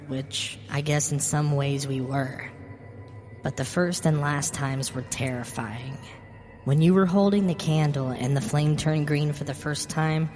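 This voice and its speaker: A woman with a low voice narrates a scripted horror story in the first person. Her voice carries a long reverb, and music plays behind her. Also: a little mellow.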